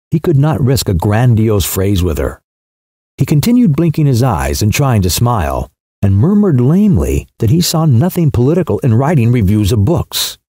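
Mellow, expressive male audiobook narrator. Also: mid-range voice, dry recording, clean studio sound. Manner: measured storytelling. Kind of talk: scripted literary narration.

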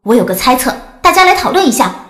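A woman acts out a chatty young forum fangirl in an audio drama, playful and very high. Her lines swing up and down in an echoey space over music. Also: fast talker. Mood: curious, speculative. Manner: playful, expressive reading. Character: chatty young female fan posting on a gossip forum. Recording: echoey room effect with music.

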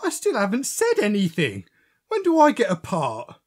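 A put-upon, sulky stage underling in a comic panto. The husky voice complains and whines, jumping around in pitch. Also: male voice, wildly swooping pitch, normal speed, full character voice. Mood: indignant. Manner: whiny, complaining. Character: put-upon, grumbling overlooked bit-part player. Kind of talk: comic audio pantomime acting.

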